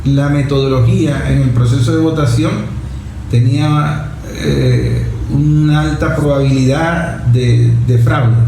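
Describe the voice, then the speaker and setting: A man's voice: a little high, darkish tone. A man with a Venezuelan accent explaining politics in a conversational discussion, repeating key phrases for emphasis. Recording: very echoey room with faint noise.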